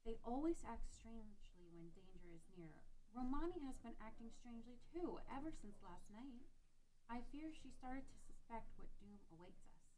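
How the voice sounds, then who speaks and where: A woman reads as a worried ranch woman haunted by coming doom. Her voice sits somewhat high, delivering a dramatic fantasy script. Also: lively intonation, moderate pace, dark tone, close to an everyday voice, rough recording. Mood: fearful. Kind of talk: dramatic table read of a fantasy game story.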